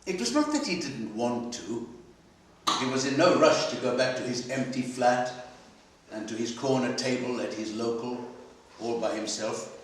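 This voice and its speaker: A man reading literary fiction aloud at a live event, with a measured, dramatic delivery and pauses. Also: medium pitch, animated, a little hiss or hum. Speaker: story reader at a literary festival. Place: library hall at a live festival. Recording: echoey hall, distant microphone.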